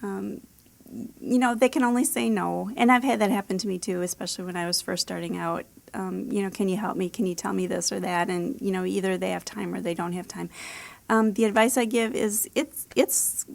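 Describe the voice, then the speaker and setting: Middle-aged American woman with a creaky voice. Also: medium pitch, fairly even intonation, a little fast. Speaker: author and editor being interviewed. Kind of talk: conversational interview answers.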